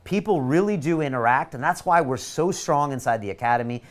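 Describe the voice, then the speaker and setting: A man's voice: mid-range voice, lively intonation, brisk. A man from California, hosting an online masterclass and leading a motivational guided visualization with eager, coaxing energy.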